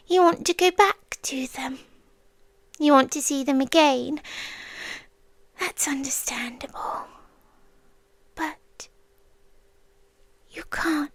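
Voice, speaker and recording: A British woman playing a possessive, childlike female sea demon in an ASMR roleplay, speaking in a soft, breathy, coaxing voice that often drops to a whisper. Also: sits high, no room sound, heavily acted. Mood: gentle, hushed. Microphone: close microphone, dry.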